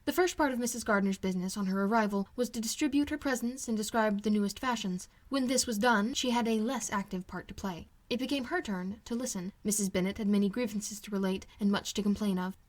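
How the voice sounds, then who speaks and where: A woman's voice: mid-range voice, fast talker, few pauses. A woman reading classic fiction aloud as scripted audiobook narration. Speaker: amateur audiobook reader.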